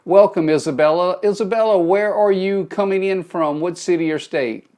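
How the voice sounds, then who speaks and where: A man's voice: high voice, normal speed, darkish tone. A middle-aged man with a Cajun accent, hosting a live phone stream, giving a folksy motivational talk and greeting viewers by name. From South Louisiana.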